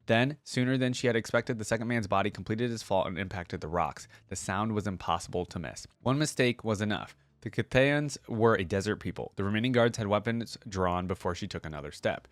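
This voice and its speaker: A man's voice: fairly low pitch, a little fast, hardly any pauses, no room sound. A man reading game lore aloud and commenting on it as an enthusiastic, casual fan. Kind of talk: expressive story reading mixed with casual reaction commentary.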